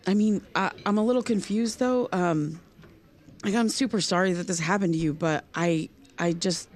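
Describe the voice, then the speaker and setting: A woman's voice: fairly low pitch, normal speed, darkish tone, natural voice. An actress playing a troubled, haunted woman podcaster, earnest and hesitant, in a naturalistic audio drama. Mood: shocked, confused.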